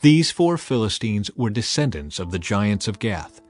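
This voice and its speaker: A man reads scripture as a scripted, dramatized narration, in a solemn, measured and emphatic manner. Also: on the low side, sing-song, a little mellow, dry recording. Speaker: audio Bible narrator. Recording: music bed under narration. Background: background music.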